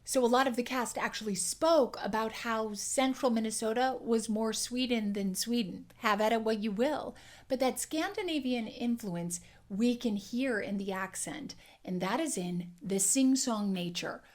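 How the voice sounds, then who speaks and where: A woman dialect coach teaching an accent lesson, explaining clearly and demonstrating sounds. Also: on the high side, moderate pace.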